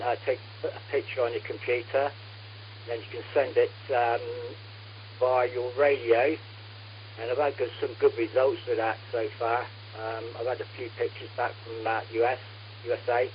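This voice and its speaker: A man chatting politely and casually as an amateur radio operator over a radio contact. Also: medium pitch. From England. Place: radio transmission. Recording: muffled radio link with humming noise.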